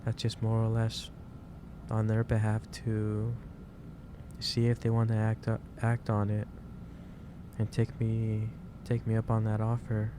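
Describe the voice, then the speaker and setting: A man playing a gentle, caring office boss in a soft-spoken ASMR roleplay, speaking haltingly and repeating himself. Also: fairly low pitch, completely flat delivery, background noise, lightly acted. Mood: gently concerned. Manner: hesitant, halting, repeats phrases. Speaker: roleplay performer.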